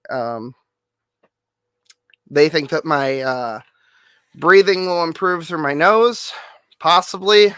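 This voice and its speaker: Nasal, hoarse man rambling casually. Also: fairly high pitch, fairly bright tone, no echo, studio quality. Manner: rambling, swears casually, many fillers. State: hoarse, losing voice. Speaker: streamer.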